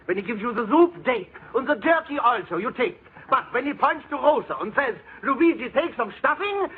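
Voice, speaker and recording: A man's voice: very high voice, animated, normal speed, crowd noise. A man playing a jolly, joking German immigrant family man in old radio comedy, exuberant, with a German accent and broken English. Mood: teasing. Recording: tinny, noisy old radio recording.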